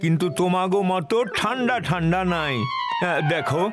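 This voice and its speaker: Folksy cartoon tea seller. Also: male voice, high-pitched, lively intonation, brisk. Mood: wheedling. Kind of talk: children's cartoon dub acting. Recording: loud cartoon music behind the voice.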